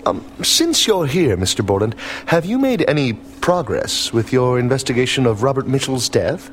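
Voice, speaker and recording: An actor playing an evasive boss in an audio drama, polished and condescending, with feigned concern. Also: male voice, medium pitch, big pitch swings, normal speed, a little hiss or hum, natural voice. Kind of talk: dramatized radio play dialogue.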